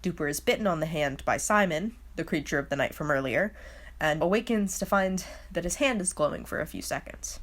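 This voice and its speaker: A woman with a slightly low voice hosts a video recap. She reads a casual script in a wry way, sounding openly baffled by what she presents. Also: lively intonation, fairly quick. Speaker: host of a video explainer.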